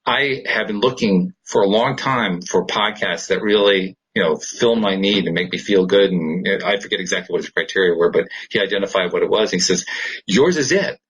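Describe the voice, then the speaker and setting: A man hosting a casual podcast conversation in an upbeat, enthusiastic manner, with a husky, rough-edged voice. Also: low voice, normal speed, fairly bright tone, low-quality recording. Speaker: podcast host.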